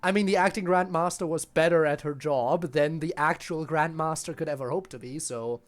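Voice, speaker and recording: A man's voice: on the high side, moderate pace, soft background music. A man giving casual, wry, informal live commentary as a game streamer.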